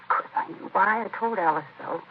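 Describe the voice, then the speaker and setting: An American actress playing a distraught, disapproving, defensive grieving sister in a 1950s radio crime drama, speaking in a hesitant, confiding way. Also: female voice, medium pitch, brisk, lightly acted. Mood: agitated, recounting. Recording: muffled, noisy vintage radio recording.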